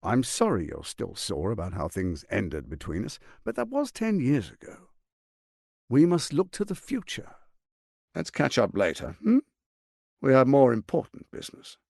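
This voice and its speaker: A male actor playing a suave, scheming, power-hungry lord in a video game, with a theatrical, measured, silky politician's delivery, ruthless and charming. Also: mid-range voice, wildly swooping pitch, vocal fry, darkish tone, dry recording, studio quality, exaggerated voice. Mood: condescending, mocking. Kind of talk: dramatic video game voice acting.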